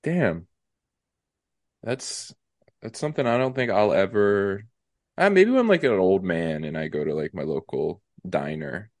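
A young man talks in a slightly low voice clogged with a stuffy nose. He halts often, filling gaps with ums and restarting sentences. The sound is close and dry. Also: big pitch swings, a little mellow, no echo. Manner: halting, many ums and restarts. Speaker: podcast co-host. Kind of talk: casual chat.

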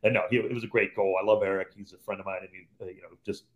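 A man in his fifties, originally from Cleveland, talks about his years in radio. His low, unhurried voice carries easy, loosely phrased recollections, the way a seasoned play-by-play commentator chats as a guest. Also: steady tone, muffled recording. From Cleveland, Ohio, USA. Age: 50s. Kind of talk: relaxed storytelling in conversation.

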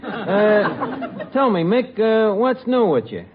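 A man's voice: high voice, sing-song, exaggerated voice. A man playing a wisecracking, unlettered tavern keeper in a radio comedy, with a New York accent and colloquial delivery. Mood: wry, chatty. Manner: colloquial, mangled grammar, drawn-out quips. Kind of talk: 1940s-50s radio comedy acting. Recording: muffled, very noisy old radio, audience.

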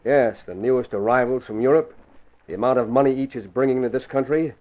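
A male actor plays a henchman reporting to his boss in a plain, mid-pitched voice. It is 1940s radio acting on a very narrow, tinny old recording. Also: brisk, lightly acted. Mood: assured.

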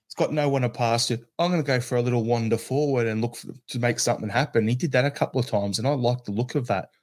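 A man with an Australian accent co-hosting a casual football podcast, animated and acting out his reactions. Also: mid-range voice, fast. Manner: animated, acts out reactions in quotes. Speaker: podcast co-host.

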